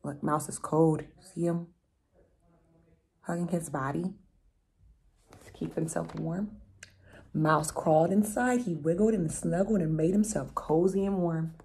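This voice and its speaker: Low, husky young woman reading to children. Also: a little slow, long pauses. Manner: expressive, explaining to young listeners. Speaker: storyteller reading a picture book aloud. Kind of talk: children's read-aloud with chatty asides. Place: room at home, indoors.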